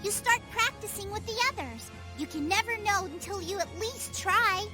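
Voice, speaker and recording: A woman's voice: extremely high pitch, lively intonation, moderate pace, music in the background. A woman voicing a spirited, bossy young girl in a video game, cocky and playful. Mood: bossy, encouraging.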